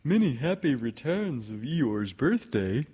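A sweet-natured, earnest toy bear from a storybook, acted out by a man. He speaks slowly and simply, with a raised pitch that rises and falls a great deal. He is polite and naive in every phrase. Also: big pitch swings, warm tone, husky. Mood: eager, friendly. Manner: slow, earnest, simple-minded delivery. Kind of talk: storybook character voice in a read-aloud.

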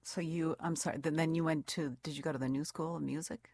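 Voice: medium pitch, fairly even intonation, quick pace, darkish tone, no room sound, clean studio sound. An interviewer asking questions, often stumbling and repeating words at the start.

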